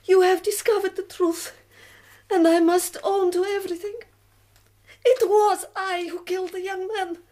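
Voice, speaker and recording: An actress playing a proud, weary middle-aged revolutionary woman in a radio drama, speaking slowly and deliberately. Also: extremely high pitch, fairly even intonation, warm tone. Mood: anguished confession. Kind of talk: BBC radio drama acting.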